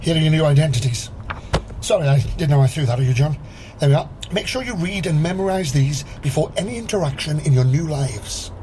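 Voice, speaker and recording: A man's voice: on the high side, lively intonation, brisk, warm tone, slight background noise, natural voice, rough recording. A man playing a teasing Welsh cop. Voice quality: chirpy, teasing, pleased with his own puns. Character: jokey, rambling Welsh male police officer. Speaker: police officer. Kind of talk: radio sitcom acting.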